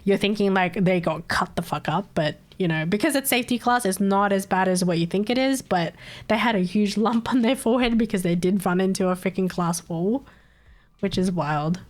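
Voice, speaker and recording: A woman's voice: medium pitch, brisk. Chatty streamer woman with a cold. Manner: rambling, laughs often, swears casually. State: recovering from a cold, slightly nasal. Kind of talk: casual late-night stream chat.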